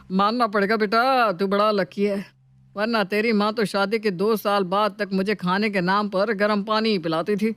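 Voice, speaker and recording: A woman's voice: mid-range voice, fairly even intonation, a little slow, fairly bright tone, dry recording, lightly acted. A woman voicing a jovial middle-aged father with a wry, teasing way of talking in a family story. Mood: amused teasing.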